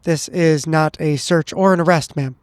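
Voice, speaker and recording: A man as a measured, wry federal agent. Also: on the high side, steady tone, clear, resonant tone, no echo, lightly acted. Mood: formal. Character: polite, wry, formal male federal special agent.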